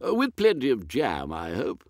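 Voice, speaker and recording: A dotty old british earl, pitched up, wobbling all over, dithery audiobook character. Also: male voice, wildly swooping pitch, normal speed, no echo, studio quality. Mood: contentedly fussy. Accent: British English. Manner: bumbling, hesitant, repeats himself. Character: vague, dithering elderly English earl.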